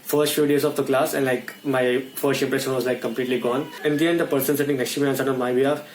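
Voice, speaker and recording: Man, very fast, monotone, filler-heavy, echoey room, faint ambient noise. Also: mid-range voice. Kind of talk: casual confessional vlog. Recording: echoey room in an old clip.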